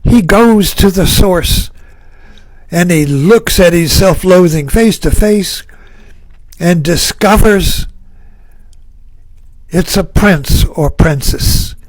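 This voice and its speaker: A man giving a slow, reflective spiritual talk, musing aloud and repeating phrases for emphasis. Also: sits high, lively intonation, no room sound, low-quality recording. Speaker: spiritual speaker. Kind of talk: reflective spoken talk on myth.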